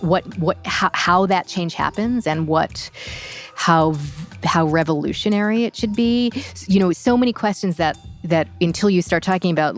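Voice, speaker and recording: Female actor interviewed. Very low gravelly voice, reflective, stop-and-start phrasing. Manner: halting, repeats words. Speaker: actor discussing a play.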